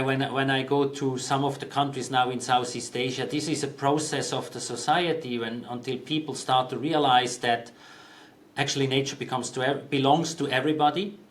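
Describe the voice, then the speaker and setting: Man, 50s, from Switzerland; guest CEO, measured and hesitant, many restarts. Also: medium pitch, fairly bright tone. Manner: thoughtful, hesitant, with frequent ums and restarts. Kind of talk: conversational interview answers.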